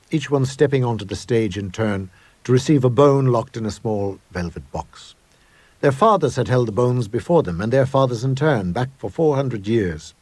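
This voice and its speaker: A man reading a first-person ghost story in a measured, grave, dramatic storytelling manner. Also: medium pitch, a little mellow, husky, no echo. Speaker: story reader.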